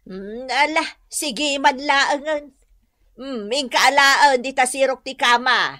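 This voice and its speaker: Slow, bright-voiced Ilocano wife. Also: female voice, high-pitched, heavily acted. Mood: grudging, doubtful. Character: practical, reluctant wife guarding the household money. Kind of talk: Ilocano radio drama acting.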